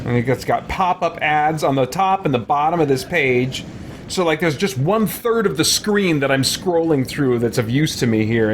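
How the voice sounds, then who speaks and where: A man giving casual, rambling gameplay commentary with exasperated exclamations. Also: medium pitch. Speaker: let's play host. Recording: noisy background from game audio.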